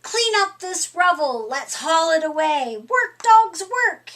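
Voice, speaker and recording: A middle-aged woman reading a picture book aloud to young children in an expressive, deliberate, emphatic way. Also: very high voice. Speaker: storytime reader. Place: small furnished room indoors.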